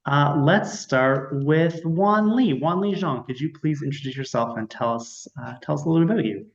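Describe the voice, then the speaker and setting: A man's voice: fairly high pitch, normal speed. A man hosting an artist talk in an informal, polite and slightly hesitant way on an online call. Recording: echoey, dull online call audio.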